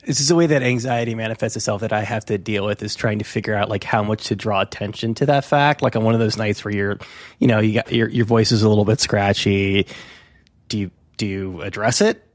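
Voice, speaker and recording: A man's voice: on the low side, a little fast, no echo. Podcast host man, dry close sound. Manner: conversational, shares own experiences. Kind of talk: casual interview conversation.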